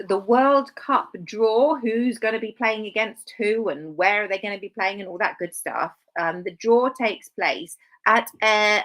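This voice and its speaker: A woman's voice: mid-range voice, a bit bright, rough recording. A woman hosting a live stream, talking casually with dry sarcastic asides and rambling self-corrections.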